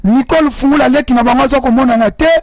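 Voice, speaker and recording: A man's voice: very high voice, steady tone, racing, no room sound. A young man from Congo with a Congolese accent, mixing French and Lingala as he hosts a rallying talk show monologue.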